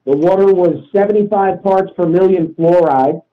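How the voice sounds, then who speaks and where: Male discussion guest, slightly high pitch, opinionated and profane, insistent delivery, muffled low-fidelity recording. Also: normal speed. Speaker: talk show participant.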